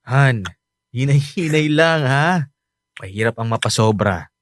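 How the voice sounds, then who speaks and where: Easygoing young Filipino husband, acted by a man, low-pitched. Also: animated, measured pace, slight background noise, lightly acted. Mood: tender. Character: doting, easygoing young husband and expectant father. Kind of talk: Filipino radio drama acting. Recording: music behind the voice.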